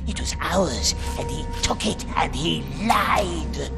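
A man voicing a small, wretched, obsessive cave creature in a video game, strained and rasping, sly and pleading, speaking of himself as "we". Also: a little high, expressive, slow pace, big-room sound, music in the background, heavily acted. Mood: resentful, defensive. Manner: hissing, broken grammar, talks of himself as "we". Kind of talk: video game cutscene acting.

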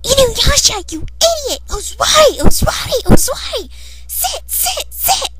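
A woman voicing a bossy, hot-tempered teenage anime girl, shrill and exclaiming. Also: sing-song, measured pace, full character voice. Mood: angry scolding. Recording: music behind, amateur home recording.

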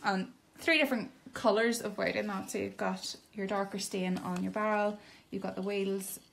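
A woman's voice: medium pitch, fairly even intonation, normal speed, creaky, a bit bright, a little hiss or hum, low-quality recording. Upbeat woman showing toys. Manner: enthusiastic, upbeat, laughs often. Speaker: toy shop presenter. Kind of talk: casual product demo chat. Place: toy shop.

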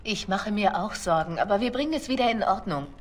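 A woman's voice: mid-range voice, lively intonation, brisk, natural voice. An actress voicing a young female spy in a video game, earnest and questioning, loyal but worried. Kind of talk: dramatic video game dialogue.

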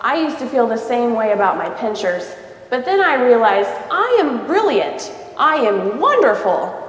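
A woman's voice: a little high, big pitch swings, a little slow, pitched above the performer's own voice. A woman reading aloud, voicing a proud, cheerful male beetle artist with a boastful, exclamatory manner. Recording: echoey room, faint noise.

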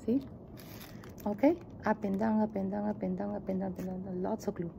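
A woman's voice: mid-range voice, little pitch movement, a little fast, creaky, background noise. A middle-aged woman whose first language is not English leads a step-by-step children's craft lesson at a table, patient and encouraging, often repeating herself. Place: indoor room with table, at a desk.

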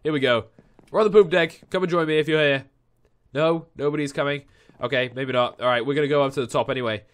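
A young man talking over a video game, his voice a touch high and stuffy with a cold. He chatters along on a headset and breaks into yelps when the game gets tense. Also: quick pace. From England. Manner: excitable, yelps and shouts when chased. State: nasal from a cold. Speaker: gaming YouTuber. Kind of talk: Minecraft let's play commentary. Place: home room.

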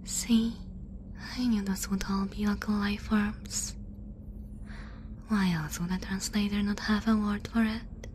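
A woman playing an aloof, clinical alien examiner in a whispered ASMR roleplay, with a measured, formal, matter-of-fact manner. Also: medium pitch, level tone, noisy background, natural voice.

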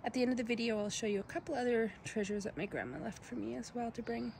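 A woman's voice: a little high, fast, creaky, a little mellow, slight background noise. A woman from Canada narrating her vlog in a friendly, chatty way, speaking straight to her viewers. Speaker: vlogger.

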